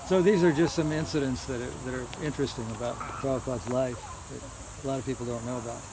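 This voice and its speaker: A man's voice: medium pitch, steady tone, fast talker. A man giving an informal religious talk full of recollections, often repeating words as he searches his memory. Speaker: religious lecturer. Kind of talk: informal reminiscing lecture. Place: housewarming gathering, small live audience. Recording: noisy outdoor-like recording with insects. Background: loud hiss and chirping crickets.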